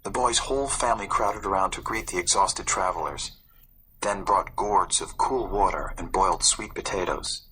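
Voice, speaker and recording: A man reading a story aloud as a narrator, in a suspenseful, expressive way. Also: low-pitched, moderate pace, a little hiss or hum, poor audio. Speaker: audiobook narrator. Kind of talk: dramatic scripted storybook narration.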